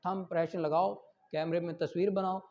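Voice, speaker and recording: A man's voice: high voice, flat delivery, a little fast, mellow, a little hiss or hum. A man with a Pakistani accent lecturing on law, reading out articles and explaining them with rhetorical questions and examples. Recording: dull lo-fi audio.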